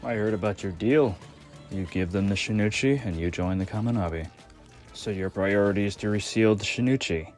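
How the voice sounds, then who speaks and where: A man voicing a laid-back, cautious adult ally in an anime fan dub, talking in a measured, reasoning way with a slightly wry edge. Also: fairly low pitch, darkish tone, faint music behind, close to an everyday voice.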